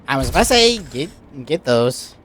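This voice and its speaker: Halting, animated game streamer. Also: medium pitch, a little hiss or hum. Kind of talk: casual gameplay commentary.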